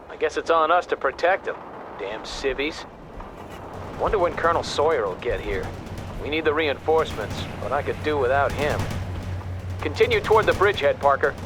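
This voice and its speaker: Brash, gung-ho American army captain. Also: male voice, on the high side. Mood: grumbling. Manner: brash, cursing, barking orders. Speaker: army captain, company commander. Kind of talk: video game acting.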